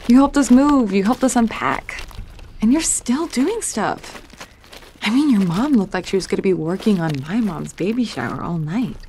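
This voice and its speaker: A woman's voice: medium pitch, expressive, moderate pace, darkish tone, a little hiss or hum, close to an everyday voice. A woman playing a defiant, sensitive schoolgirl who is new in town, in an audio drama, with an earnest, hesitant delivery. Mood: hesitant, wistful.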